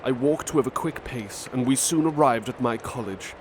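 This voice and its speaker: A man reads aloud in a gentle, measured ASMR storytelling style, taking his time over each line. His voice sits in the middle of the pitch range. Loud urban ambience plays steadily beneath him. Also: noisy background. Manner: measured, gentle, literary reading. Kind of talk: ASMR roleplay story reading.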